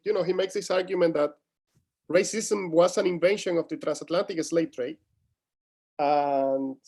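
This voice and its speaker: Male scholar, Cuban accent, native Spanish speaker, slightly high voice, halting conversational explanations for an interview. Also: a little mellow. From Cuba. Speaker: guest, history academic.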